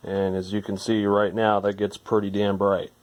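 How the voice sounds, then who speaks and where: A man's voice: fairly low pitch, completely flat delivery, normal speed, poor audio. A man giving informal hands-on repair narration, rambling a little as he thinks aloud. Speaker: electronics repair hobbyist presenting a restoration.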